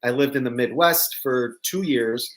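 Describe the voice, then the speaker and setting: A man's voice: medium pitch, measured pace. Chatty American man with mixed accent. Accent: mixed American, touches of New York and Southern. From New York. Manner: chatty, rambling, frequent ums and asides to viewers. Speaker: host of a live news show. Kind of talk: casual livestream news chat.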